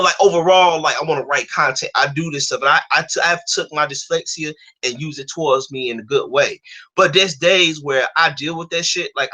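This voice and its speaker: Fast, heated young man from Atlanta. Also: fairly high pitch, pushed and bright, slightly rough. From Atlanta, USA. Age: 20s. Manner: profane, heated, stuttering. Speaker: guest on a live stream. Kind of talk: casual chat, ranting.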